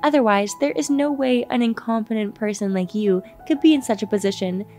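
Woman voicing a shrill scornful older sister. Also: brisk, darkish tone, soft background music, lightly acted. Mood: angry. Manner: shrill, scolding, demanding.

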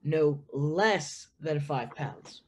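A man's voice: on the high side, expressive, drawn-out words, darkish tone, a little hiss or hum. A young man playing a naive, earnest farm boy in a radio play over a video call.

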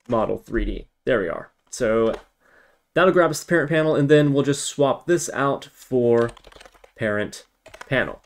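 A man's voice: mid-range voice, a little hiss or hum. A man giving an informal tutorial, thinking aloud step by step as he works on screen. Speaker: tutorial instructor.

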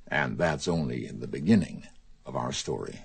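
Really deep guy narrating a suspense radio play, lively delivery, music behind. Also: moderate pace, vocal fry. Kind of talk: dramatic scripted radio narration.

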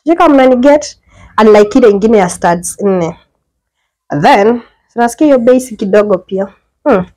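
A woman's voice: fairly high pitch, moderate pace, long pauses. A young Kenyan woman chatting her way through a house tour, filming on a handheld phone in a small indoor apartment. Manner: chatty, mixes English and Swahili slang, lively exclamations. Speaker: vlogger giving a house tour. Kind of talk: casual house tour chat. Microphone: phone camera held while walking.

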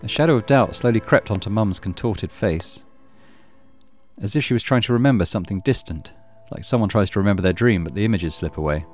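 A man's voice: lowish voice, brisk, faint music behind. A man reading a horror visual novel aloud as dramatic first-person narration. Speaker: game streamer reading aloud.

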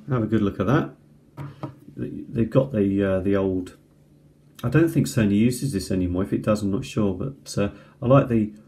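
A middle-aged man giving a casual, unscripted hands-on product review in an unhurried, rambling way. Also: lowish voice, mellow. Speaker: amateur cassette tape reviewer. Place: indoors at a kitchen table. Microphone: tablet's built-in mic at close range. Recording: noisy home tablet recording with clicks.

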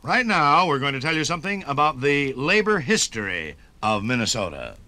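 A man's voice: medium pitch, big pitch swings, normal speed, bright, forceful tone. An American man reading a 1950s radio sponsor message in a folksy, conversational way, speaking straight to the listener. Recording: 1950s radio broadcast on vinyl.

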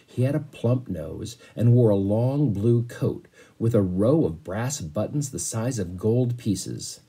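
Guy in his 50s reading a kids book out loud, soft mellow tone, bit raspy. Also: fairly low pitch, lively intonation, slow pace. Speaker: teacher reading to a class. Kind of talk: read-aloud storytelling with casual asides. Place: small bedroom, indoors.

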